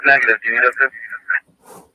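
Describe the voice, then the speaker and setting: A man's voice: medium pitch, little pitch movement, moderate pace, sharp tone, no room sound. A male police officer giving terse radio traffic over a two-way radio. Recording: muffled, distant police radio feed.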